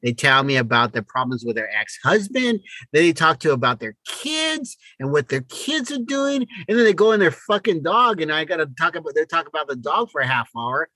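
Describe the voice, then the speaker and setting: A man's voice: on the high side, very animated, brisk, few pauses, pushed and bright, no echo. A middle-aged man co-hosting a podcast in casual, joking chat, with a blunt, exasperated way of talking and some swearing. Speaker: podcast co-host.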